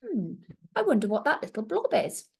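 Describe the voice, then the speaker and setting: A woman's voice: a little high, wildly swooping pitch, normal speed, creaky, husky. A woman reading a storybook aloud to young children like a primary teacher, expressively and with little asides to her listeners.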